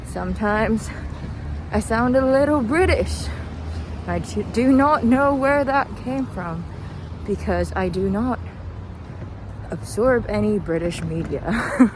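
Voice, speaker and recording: A woman's voice: fairly high pitch, animated, slow pace, pauses often. A young woman chatting casually as a vlogger while out walking, with hesitant, meandering thoughts. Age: young adult. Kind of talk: casual rambling walking vlog. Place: outdoors, walking. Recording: noisy outdoor street, traffic ambience. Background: street and vehicle noise.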